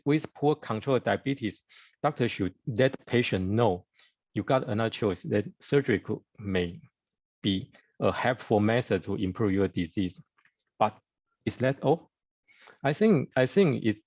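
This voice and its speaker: A man from Taiwan, giving a conference talk over a video call in halting English with many fillers and restarts. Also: lowish voice, gravelly, darkish tone, no room sound, highs cut off. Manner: halting, hesitant with many fillers and broken grammar. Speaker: guest speaker surgeon.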